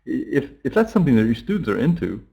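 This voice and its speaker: Middle-aged male teacher, interview guest, rambling and conversational, average pitch. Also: big pitch swings, fast talker, creaky, dark tone, slightly rough. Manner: rambling, thinking aloud with many restarts and fillers.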